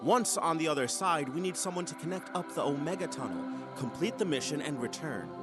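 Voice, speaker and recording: An actor playing a commanding, smooth-talking mission leader with a secret scheme, authoritative and theatrical in a sci-fi audio drama. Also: male voice, on the high side, lively intonation, brisk, over loud music, lightly acted. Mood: grave, persuasive.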